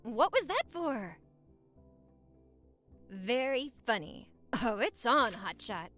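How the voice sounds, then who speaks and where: A woman's voice: fairly high pitch, sing-song, slow, a bit bright, faint music behind, full character voice. A woman acting a playful, lovestruck newlywed wife in a romantic audio roleplay, teasing and flirting in intimate, easily flustered banter. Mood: playfully indignant.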